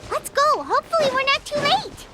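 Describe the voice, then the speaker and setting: A woman's voice: very high-pitched, big pitch swings, normal speed, full character voice. A woman voicing a small, chirpy floating female companion creature who talks about herself in the third person, in video game voice acting. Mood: curious. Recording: game audio with loud music behind.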